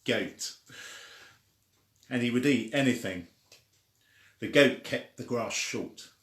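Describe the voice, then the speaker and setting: An older man reading a children's story aloud informally, with a halting, deliberate delivery. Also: mid-range voice, a bit bright. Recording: echoey room.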